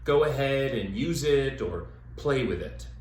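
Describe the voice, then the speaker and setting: A man working as a theater educator, guiding listeners through an exercise with measured, step-by-step instructions and pauses. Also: medium pitch, expressive. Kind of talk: instructional demonstration, guided exercise. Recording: echoey room with faint background noise.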